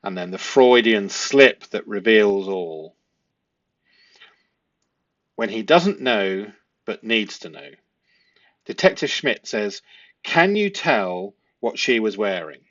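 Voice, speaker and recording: A man giving analytical commentary as a host, reading from a transcript in halting, stop-start phrases and stressing key words. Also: on the low side, lively intonation, fairly bright tone, no echo. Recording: dull, muffled lo-fi audio.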